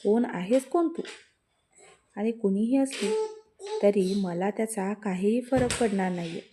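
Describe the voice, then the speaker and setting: A woman storyteller voicing an annoyed young male college student who talks back loudly and mockingly, in a slow, even read. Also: mid-range voice, noisy background, natural voice. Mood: irritated. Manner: indignant, mocking, raised voice.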